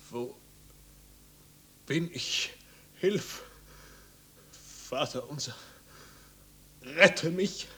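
An actor playing a tormented, melancholy young wanderer in a 1950s radio drama, brooding and anguished. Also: male voice, high-pitched, sharp tone, exaggerated voice. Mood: panicked despair. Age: young adult. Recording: old radio recording with hiss and hum.

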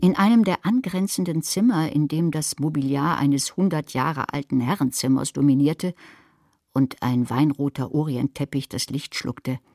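An actress playing a dry, sardonic divorced librarian of 63 in a radio drama, speaking with understatement. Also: female voice, low-pitched, normal speed, a little mellow, no room sound. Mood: wry narration. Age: 60s. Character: dry 63-year-old divorced retired female librarian. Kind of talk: radio drama narration and dialogue.

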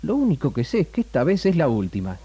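Intensely animated, troubled Argentine man. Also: fairly high pitch, fast talker, breathy edge, exaggerated voice. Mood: troubled, brooding. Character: troubled, self-doubting young man, television camera director. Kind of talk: 1960s Argentine radio drama acting.

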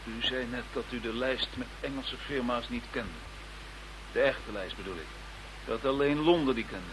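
An actor playing a sceptical police investigator in a radio play, direct and matter-of-fact. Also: male voice, mid-range voice, moderate pace, natural voice. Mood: probing. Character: sceptical male police investigator, frank and probing. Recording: slightly noisy radio drama recording.

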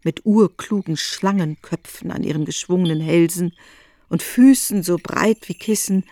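A woman narrating a literary radio drama with articulate, expressive delivery and deliberate emphasis. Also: fairly low pitch.

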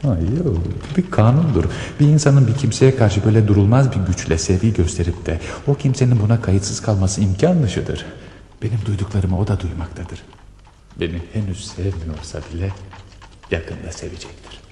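A man's voice: on the low side, moderate pace, mellow, slight background noise, close to an everyday voice. A man playing an ardent, intense officer in earnest, declamatory radio theater style. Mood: rapt, yearning. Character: lovestruck, intense male officer in a wartime romance.

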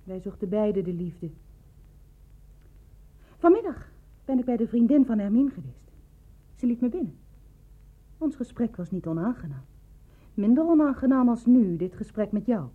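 A woman in a radio drama playing a lonely, bereaved woman, coolly probing and insinuating in measured, clipped phrases. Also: a little high, very animated, husky. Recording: narrow, dull lo-fi radio play recording.

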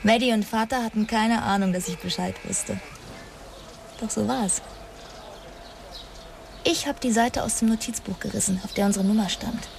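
Woman acting as bitter wounded young woman, creaky mid-range voice, halting confession, radio drama, faint ambient noise. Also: medium pitch, natural voice. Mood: guilty, subdued. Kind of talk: dramatic radio play dialogue.

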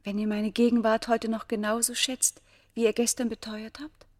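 A woman acting a governor's noble daughter of ancient Egypt, passionately in love, with formal, breathy, poetic delivery in a German radio play. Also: a little high, level tone, moderate pace, a little mellow, lightly acted. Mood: tender.

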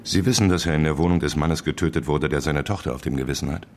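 An actor playing a terse, probing investigative reporter in a radio drama, speaking in short pointed questions. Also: male voice, rumbling low, fast, slight background noise. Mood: probing, sober. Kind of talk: German radio drama dialogue.

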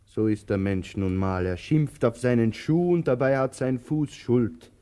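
A man plays a brooding, fussy tramp in a theatrical radio play. His mellow, middle-pitched voice muses and declaims with ponderous care. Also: unhurried, natural voice. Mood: fussy, reproachful. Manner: declamatory, ruminative. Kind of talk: theatrical radio drama acting.

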